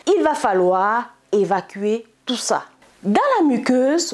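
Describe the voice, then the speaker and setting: Unhurried, expressive woman explaining things. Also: medium pitch. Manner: didactic, step-by-step, explains with simple images. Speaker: host of a medicinal plant channel. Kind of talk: explanatory health lesson to camera.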